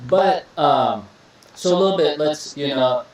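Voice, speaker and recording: A man's voice: fairly high pitch, unhurried, far from the mic. A young man from Iraq talks easily as a podcast host, looping back on his words with a laid-back, friendly flow. He sits a little away from the mic. The room rings with strong echo. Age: young adult. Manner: relaxed, repeats words, says "bro" and "beautiful" often. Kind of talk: casual interview chat. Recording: echoey room, voice a bit off-mic.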